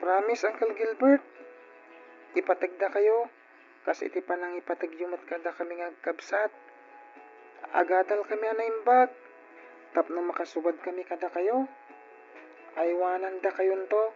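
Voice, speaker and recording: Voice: mid-range voice, moderate pace, pauses often, darkish tone, close to an everyday voice. An actor playing an earnest, polite young orphan child in an old radio drama, with an Ilocano accent and emotive delivery. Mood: grateful. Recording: dull lo-fi radio recording with music.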